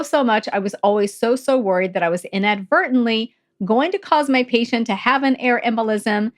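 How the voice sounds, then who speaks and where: Woman with a slightly gravelly, croaky voice. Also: on the high side. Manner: friendly, explains step by step and repeats key points. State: croaky, gravelly from a lingering cough. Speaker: nursing podcast host. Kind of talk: casual teaching talk.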